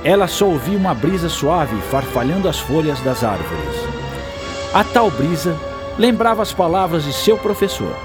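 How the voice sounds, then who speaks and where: A Brazilian man tells a scripted radio story in an expressive voice. His pitch is a bit high and moves widely, and clear music plays underneath. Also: normal speed. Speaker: radio drama narrator.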